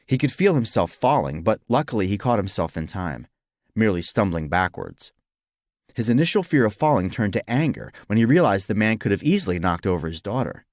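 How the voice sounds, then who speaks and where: A man narrating a scripted story in an expressive, dramatic manner, as for an audiobook. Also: on the low side, a little fast, dry recording, clean studio sound.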